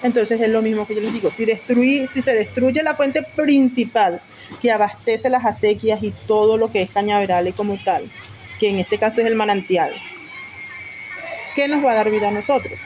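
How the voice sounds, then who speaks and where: A woman's voice: on the high side, moderate pace, darkish tone, slight background noise. A woman speaking off the cuff in an interview, emphatic, repeating points and asking rhetorical questions. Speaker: local community leader interviewee. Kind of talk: spontaneous interview talk.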